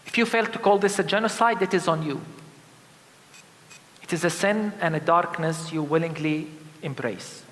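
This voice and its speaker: A man with a Palestinian accent preaching a sermon as a pastor, grave, deliberate and forceful. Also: on the high side. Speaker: Lutheran pastor. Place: in a church. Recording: echoing church with long reverb.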